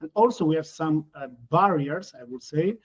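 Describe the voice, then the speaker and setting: A man from Italy with an Italian accent, speaking as a guest on a panel, halting and often correcting himself mid-word. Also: a little high, breathy edge, lo-fi sound. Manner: halting, frequent self-corrections. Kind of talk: panel discussion.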